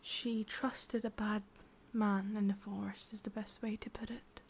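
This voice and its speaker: A woman with an English accent voicing an ancient, possessive, wistful witch in a slow, intimate, breathy ASMR whisper. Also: medium pitch, level tone, slight background noise, lightly acted. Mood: confiding. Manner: slow, intimate, musing, with lingering pauses. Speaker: ASMR roleplay performer. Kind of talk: whispered ASMR roleplay monologue.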